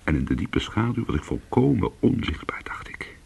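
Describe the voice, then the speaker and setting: An actor playing a weary, hard-boiled former cat burglar, telling his story in an intimate, brooding and deliberate way in a radio play. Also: on the low side, big pitch swings, gravelly, darkish tone, breathy edge, in a whisper, full character voice. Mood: hushed, tense.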